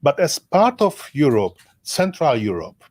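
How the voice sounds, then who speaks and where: A man with a strong Hungarian accent, co-founder speaking as a guest in a casual live interview, earnest and deliberate. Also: on the high side, big pitch swings, darkish tone.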